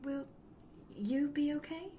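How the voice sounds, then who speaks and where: A woman's voice: high voice, slow pace, warm tone, slight background noise. An actress playing a grateful, sweet young woman in a noir audio drama, earnest and polite. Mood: hopeful.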